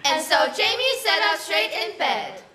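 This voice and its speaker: High, lively, bright teenage girl narrator. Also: moderate pace. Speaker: narrator in a school play. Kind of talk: theatrical scripted readers theater. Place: school stage in an auditorium. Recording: echoey stage recording.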